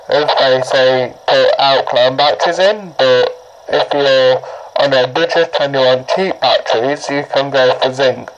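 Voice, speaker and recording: A man giving a casual, rambling how-to explanation, halting and often repeating his words, as he demonstrates a product. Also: mid-range voice, dull audio. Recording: noisy amateur recording, clicks.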